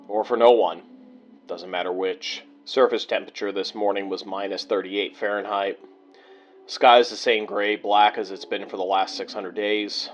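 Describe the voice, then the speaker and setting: A worn-down bunker survivor acted by a man dictates a personal log in an audio drama. His low voice is grim and measured, in terse phrases broken by halting pauses, over faint music. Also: muffled recording.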